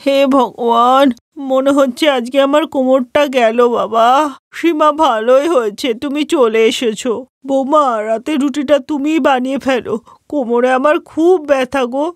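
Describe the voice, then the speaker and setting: A woman voicing a sharp-tongued older mother-in-law who belittles her daughter-in-law. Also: sits high, fairly even intonation, normal speed, dry recording, studio quality, close to an everyday voice. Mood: weary. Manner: scolding, belittling, complains of backache.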